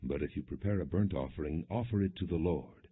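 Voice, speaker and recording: A man's voice: deep voice, fairly quick, slightly rough. A man voicing a solemn, commanding angel of God in a scripture reading, on slightly muffled audio. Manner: solemn, authoritative.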